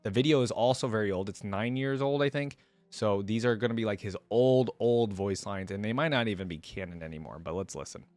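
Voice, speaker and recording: A man with a fairly low voice gives casual reaction commentary. He rambles conversationally, thinking aloud for his viewers, recorded close and dry. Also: brisk, a little mellow, no echo, faint music behind. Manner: rambling, conversational, mulling things over aloud. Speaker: reaction video host.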